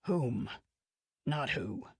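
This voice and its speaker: A woman voicing a big dying male killer who talks in a slow, low, pompous way. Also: no echo, exaggerated voice. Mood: grandiose.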